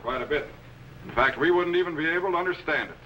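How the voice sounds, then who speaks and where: A man's voice: a little high, quick pace, bright, forceful tone. A middle-aged man playing a commanding, learned time-lab captain in a 1950s television serial, clipped and precise. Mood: instructive, assured. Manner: clipped, authoritative, precise orders. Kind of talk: 1950s TV science fiction acting. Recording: noisy 1950s film soundtrack.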